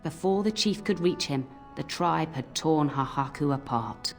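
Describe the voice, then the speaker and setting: An actress playing an old nanny in a game, scolding but fond, given to telling cautionary tales. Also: female voice, sits low, animated, unhurried. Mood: solemn storytelling. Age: older. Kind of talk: scripted game voice acting, storytelling. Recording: music under the voice.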